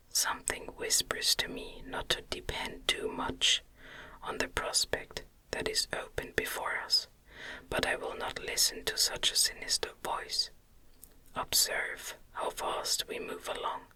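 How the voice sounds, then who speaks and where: A narrator voicing a gentle young wife in a tender, soothing, breathy whisper for an audiobook. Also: low-quality recording. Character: Elizabeth, gentle young wife soothing her anxious husband.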